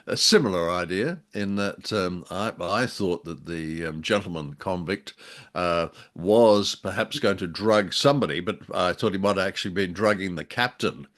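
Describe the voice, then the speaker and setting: A man co-hosting a podcast in casual discussion, speaking in a jokey, haltingly phrased way. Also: low-pitched, fairly bright tone. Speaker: podcast co-host. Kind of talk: casual discussion of a radio drama.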